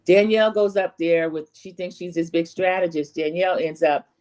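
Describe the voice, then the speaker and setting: A woman's voice: fairly low pitch, steady tone, moderate pace, darkish tone. A woman with a slightly scratchy voice giving a casual, chatty, opinionated recap as the host of a reality TV commentary channel. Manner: opinionated, rambling storytelling with acted-out quotes.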